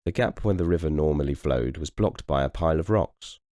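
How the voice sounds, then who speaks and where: A man reading a children's story as narrator, in an expressive storytelling manner. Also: rumbling low, fairly quick, dry recording. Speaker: storybook reader and host.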